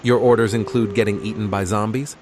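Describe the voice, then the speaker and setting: A man's voice: fairly low pitch, steady tone, a little fast, noisy background, natural voice. A man playing a weary, guilt-ridden research scientist in a horror audio comic. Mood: bleak. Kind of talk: dramatic audio comic acting.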